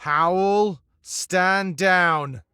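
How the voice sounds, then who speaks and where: An actor playing an earnest young rebel leader who talks in an encouraging, expressive way, voiced for a visual novel game. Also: male voice, sits high, drawn-out words, clean tone, no room sound, studio quality, heavily acted. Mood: alarmed.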